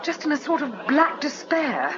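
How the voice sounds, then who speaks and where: Animated, gossipy society wife. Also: female voice, high-pitched, moderate pace, crowd noise. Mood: exasperated. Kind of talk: radio comedy drama acting. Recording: muffled radio play recording, background noise.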